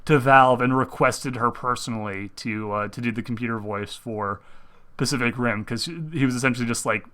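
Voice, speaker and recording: A young man hosting a casual chat about video games, rambling and excitable, restarting his sentences often. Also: mid-range voice, moderate pace. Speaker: host.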